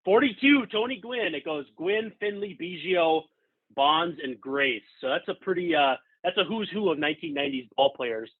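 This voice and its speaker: Over a thin, phone-like remote connection, an American man hosts a casual sports interview. His voice is slightly high for a man and has a husky grain. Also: animated, moderate pace. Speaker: podcast host. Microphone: remote call.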